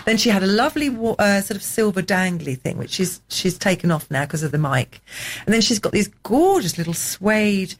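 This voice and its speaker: A woman's voice: medium pitch, animated, normal speed. A woman co-hosting a radio show in a studio, rambling and gossipy in casual banter. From England. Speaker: radio co-host.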